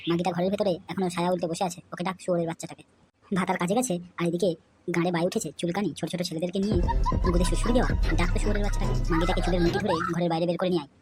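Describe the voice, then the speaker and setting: A hot-tempered, foul-mouthed village club boss from a comedy cartoon, voiced by a woman. The voice sits low for her and fires off orders at a rapid pace. Loud music runs underneath. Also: steady tone, close to an everyday voice. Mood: angry. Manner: shouting abuse, bossy. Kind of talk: Bengali comedy cartoon dubbing. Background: background music.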